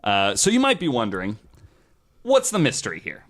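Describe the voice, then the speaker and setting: A man's voice: medium pitch, wildly swooping pitch, moderate pace, fairly bright tone. A man hosting a podcast, telling a researched story in a casual, profane and theatrically emphatic way.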